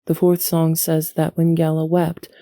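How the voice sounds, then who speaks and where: A woman acting in an audio drama as a devout, composed woman who gently scolds and instructs in formal phrasing. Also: low-pitched, completely flat delivery, a little slow, no echo, studio quality. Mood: reverent, careful.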